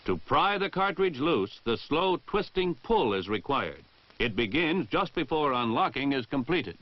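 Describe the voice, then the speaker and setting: A man's voice: mid-range voice, big pitch swings. American man narrating, dull vintage film sound. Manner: formal, precise, measured enunciation. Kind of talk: scripted instructional narration, 1960 military training film.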